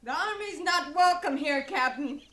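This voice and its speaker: A woman's voice: high-pitched, lively intonation, normal speed, heavy background noise. An actress playing a bitter, defiant frontier widow in a 1950s radio western, scornful and folksy in her phrasing.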